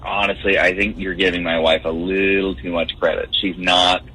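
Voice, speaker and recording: A man's voice: deep voice, little pitch movement, moderate pace, pushed and bright. A man talking conversationally on a phone call to a radio show. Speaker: caller on a radio phone segment.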